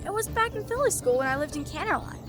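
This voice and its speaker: A woman's voice: very high voice, lively intonation, fast, over music. A woman playing a cheerful, easygoing young unicorn mare in a cartoon audio drama, with a playful, teasing manner.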